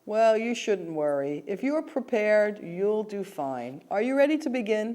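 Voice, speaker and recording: Female interviewer role, formal and courteous, lively pitch. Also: unhurried. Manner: formal, polite questioning. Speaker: mock interviewer. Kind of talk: scripted role-play dialogue.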